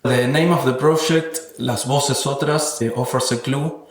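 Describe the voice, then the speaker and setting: A man's voice: mid-range voice, fairly even intonation, measured pace, a little hiss or hum. Man speaking evenly in an echoey room. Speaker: interviewed sound artist. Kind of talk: reflective interview about own work.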